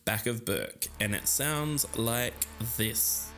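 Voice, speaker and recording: A man's voice: mid-range voice, slow pace, pushed and bright, faint music behind. A man co-hosting a podcast, moving between semi-scripted narration and conversational banter. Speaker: podcast co-host.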